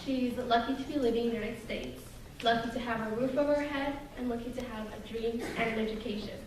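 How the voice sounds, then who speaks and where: A woman's voice: a little high, fairly even intonation. Young woman giving a speech in an echoey hall. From Russia. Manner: earnest, measured delivery. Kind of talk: prepared speech to an audience. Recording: echoey hall from far off, noisy.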